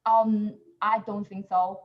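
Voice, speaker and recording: A woman's voice: medium pitch, little pitch movement, slow, lo-fi sound, soft background music. A woman researcher answering questions on a video call, hesitant and full of fillers as she searches for words. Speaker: researcher presenter. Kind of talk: answering questions in a second language.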